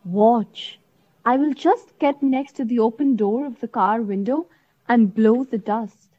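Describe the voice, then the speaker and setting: Confident female mission leader for radio play, played by a woman: high voice, instructing, deliberate, slightly dull recording. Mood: focused, urgent. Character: confident female leader of a covert night exercise, instructing her partner. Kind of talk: audio drama acting.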